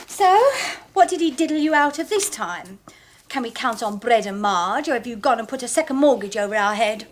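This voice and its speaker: A scornful, sarcastic housewife, acted by a woman. Her voice sits high and her retorts come quick. It is an old radio play. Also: animated, a little hiss or hum, lightly acted. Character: sharp-tongued nagging working-class wife. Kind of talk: radio drama acting.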